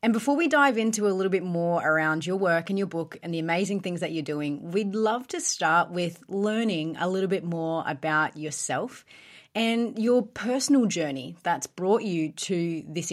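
A woman co-host opening an interview in a polite, softly spoken way. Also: fairly low pitch, normal speed. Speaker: podcast co-host.

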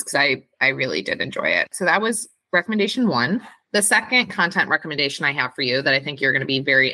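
Low-voiced, bright woman podcast co-host. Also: dry recording. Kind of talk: casual podcast chat.

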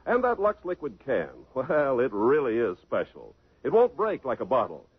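Lively old-time radio announcer, man. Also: husky, no room sound. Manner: hearty, lively sales pitch. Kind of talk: 1950s radio commercial. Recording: tinny old radio broadcast.

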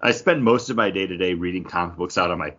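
A man's voice: sits low, fast, a bit bright, highs cut off. A man co-hosting a comic book podcast in casual, conversational chat.

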